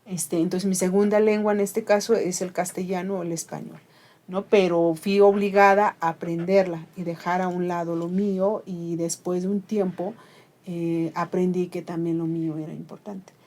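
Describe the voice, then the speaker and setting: A woman's voice: on the low side, level tone, normal speed, dark tone. A middle-aged Mixe woman with a Mixe accent and Ayuujk as her first language, talking earnestly and reflectively on a radio program, with frequent fillers. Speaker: radio host. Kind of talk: conversational radio talk.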